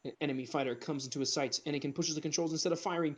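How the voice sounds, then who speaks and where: A man's voice: fairly high pitch, fairly even intonation, racing, background noise. A man narrating a script informally for an amateur comedy reading, sometimes stumbling over the words.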